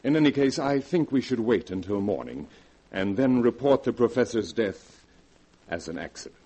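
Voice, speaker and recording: A cold, scheming scientist. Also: male voice, mid-range voice, expressive, moderate pace, dark tone, breathy edge. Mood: calm, persuasive. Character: calm, scheming male scientist, cold and persuasive. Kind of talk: 1940s radio acting.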